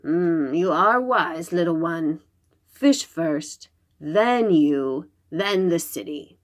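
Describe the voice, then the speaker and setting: Woman voicing a slow, menacing storybook monster. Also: on the low side, animated. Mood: scheming. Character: greedy wicked male monster with horn and jagged teeth. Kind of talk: children's storybook read-aloud.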